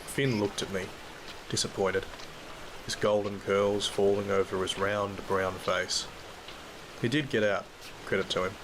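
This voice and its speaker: A man's voice: on the low side, level tone, measured pace, pauses often, natural voice. A man with an Australian accent playing a weary, troubled father who narrates his grim working life in an audio drama. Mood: weary, brooding. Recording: very noisy track, rain and storm ambience.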